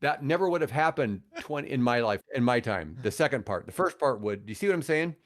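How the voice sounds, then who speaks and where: A middle-aged or older man in casual conversation as a podcast guest, reflective and rambling, often pausing mid-thought. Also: mid-range voice, expressive. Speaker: podcast guest, radio host. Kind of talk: casual chat.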